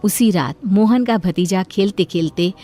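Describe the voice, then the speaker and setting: A woman's voice: medium pitch, unhurried, mellow. A woman reading scripted story narration as the narrator. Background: music.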